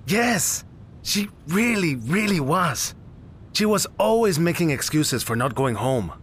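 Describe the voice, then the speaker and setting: Expressive, swooping young man. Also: on the high side, measured pace, slightly rough, full character voice. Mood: exasperated, venting. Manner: expressive, teasing, emphatic. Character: friendly, dramatic cafe owner, loyal and exasperated best friend. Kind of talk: naturalistic audio drama acting.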